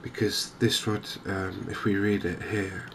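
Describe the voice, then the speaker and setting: A man softly narrating a game in ASMR style, hushed and hesitantly thinking aloud. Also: sits low, level tone, unhurried, creaky. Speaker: gaming video host. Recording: noticeable background ambience noise. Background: game ambience.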